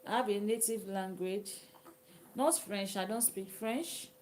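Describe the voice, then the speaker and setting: A Nigerian woman whose native language is Yoruba, chatting casually with viewers on a live stream, sometimes dropping to a soft whisper. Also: medium pitch, measured pace, a little hiss or hum. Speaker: livestream host. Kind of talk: casual live chat reading comments.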